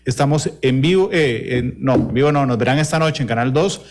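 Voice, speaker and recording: A man's voice: mid-range voice, fairly quick, very noisy. Earnest male show host. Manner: earnest, addresses listeners directly. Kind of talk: live talk show opening.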